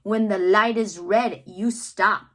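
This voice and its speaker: A woman reading poems aloud for children in a theatrical way, drawing out words and pausing between phrases. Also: mid-range voice, fairly even intonation, fairly bright tone. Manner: theatrical, drawn-out words with pauses. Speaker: read-aloud host, children's reader. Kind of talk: expressive poetry read-aloud for children.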